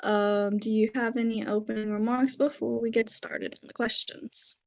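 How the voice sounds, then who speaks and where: A young woman hosting a casual online interview, her voice a little soft and hoarse, talking with many fillers and pauses. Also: fairly high pitch, level tone, dull audio. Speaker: interview host.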